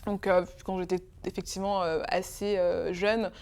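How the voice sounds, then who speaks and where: Young woman, hesitant and soft-spoken. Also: on the low side, flat delivery, normal speed, clear, resonant tone. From Congo. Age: 20s. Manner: hesitant, many fillers and restarts. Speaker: guest. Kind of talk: emotional interview.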